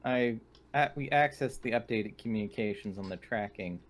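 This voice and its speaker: A man's voice: lowish voice, normal speed, close to an everyday voice. Man playing a stilted, dutiful synthetic assistant. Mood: reporting. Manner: formal, stilted.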